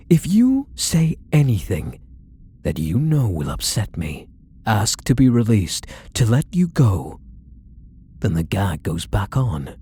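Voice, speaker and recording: Male actor as possessive kidnapper husband, ASMR roleplay, unhurried deliberate delivery, mellow tone, condescending menace, controlling. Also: fairly low pitch, slight background noise. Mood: controlled, threatening. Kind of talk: scripted ASMR roleplay, addressing the listener.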